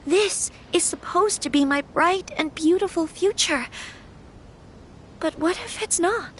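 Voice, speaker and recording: An actress playing a gentle young noblewoman in an anime fantasy game, troubled yet firm, with soft, earnest, formal phrasing. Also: extremely high pitch, a little slow, slight background noise. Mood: troubled, reflective.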